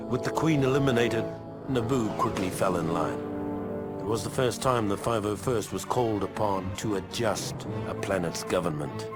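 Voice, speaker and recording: An actor playing a weathered veteran clone trooper, narrating his war memoirs for a video game in a measured, grave, wry soldierly manner. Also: lowish voice, natural voice. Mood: proud, grim. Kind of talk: scripted in-character journal narration for a game. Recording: music behind the voice.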